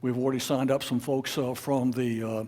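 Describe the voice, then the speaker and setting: A man leading a club meeting, giving informal announcements in a halting, unscripted way. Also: medium pitch, level tone, dark tone. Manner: halting, hesitant, unscripted delivery. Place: club meeting room with an audience.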